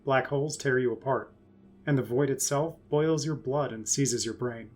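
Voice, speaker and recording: A man delivering dramatic scripted narration in a grave, ominous and deliberate manner. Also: mid-range voice, a little mellow, faint music behind.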